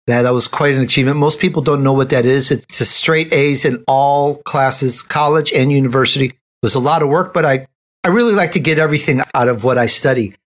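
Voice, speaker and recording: A man's voice: medium pitch, a little fast. A man hosts a conversational interview in a mid-range voice. He is effusive and complimentary as he speaks to his guests and his audience. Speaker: interview host.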